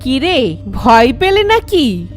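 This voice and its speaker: A vengeful female ghost from a horror cartoon with an extremely high, widely swooping voice. She drags out her accusations slowly, as a wronged wife and grieving mother. Music plays faintly behind her. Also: big pitch swings, heavily acted. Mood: menacing, taunting. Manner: slow, drawn-out, accusing.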